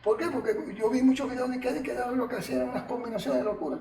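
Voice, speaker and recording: An older Cuban man with a strikingly high voice, telling stories in an animated, colloquial way full of asides. He sits back from the mic in an echoey room, with a faint noise underneath. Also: rapid-fire, recorded from a distance. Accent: Cuban Spanish. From Cuba. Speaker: martial arts master, interview guest. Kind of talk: reminiscing storytelling.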